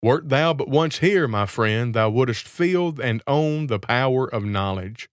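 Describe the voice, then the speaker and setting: A man's voice: mid-range voice, lively intonation, measured pace, no room sound, clean studio sound, natural voice. A man voicing a crafty fairy tale gardener who poses as a grand sage, proclaiming in archaic, grandiose speech.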